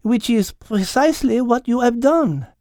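A man voicing a calm, matter-of-fact, elegant female cat. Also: high voice, slow, no echo, studio quality, voice pushed up. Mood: calm, firm.

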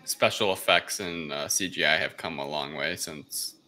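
A man's voice: a bass voice, normal speed, pushed and bright. A man co-hosting a podcast, recapping and reviewing a film in a loose, informal, conversational way. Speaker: podcast co-host. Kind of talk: casual film review chat.

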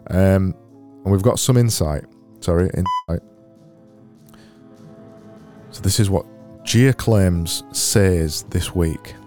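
A man with a Barnsley accent hosting his own podcast, talking alone in a sarcastic, deadpan, self-mocking way. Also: deep voice, takes it slowly, pauses often, soft background music. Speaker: podcast host. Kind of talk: solo comedic podcast monologue.

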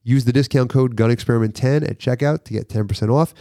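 A man's voice: medium pitch, fast talker, warm tone, no room sound. A man hosts a casual podcast while a little congested from a cold, his voice sitting in an ordinary middle range. He talks loosely and reads sponsor spots into a close, dry mic. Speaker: podcast host. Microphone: studio podcast mic, dry sound.

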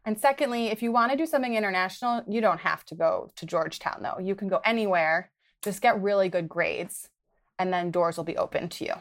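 Woman, young adult, from Wisconsin, podcast guest, earnest, conversational, mid-pitched. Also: a little fast. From Wisconsin, United States. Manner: earnest, advice-giving, occasional laughs. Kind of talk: conversational interview.

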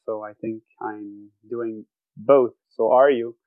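A man's voice: fairly low pitch, fairly even intonation, slow pace, no room sound, phone-quality sound. A man, a podcast guest who talks thoughtfully and searches for words. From Quebec, Canada. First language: French. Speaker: guest athlete. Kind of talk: casual podcast interview. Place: home bedroom.

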